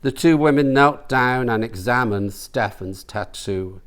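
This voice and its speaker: A man reading comic fiction aloud as a storyteller, in a deliberate, theatrical manner. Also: medium pitch, fairly bright tone, clean tone. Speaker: author reading from his novel. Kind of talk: expressive audiobook-style reading of comic fiction.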